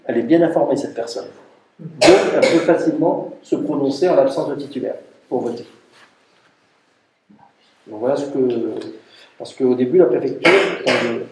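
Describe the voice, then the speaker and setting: A man's voice: on the high side, mellow. A man speaking as a mayor at a council meeting, explaining things off the cuff in an emphatic way and repeating himself. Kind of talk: formal council briefing, spoken off the cuff. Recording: roomy, distant mic, faint noise and clicks.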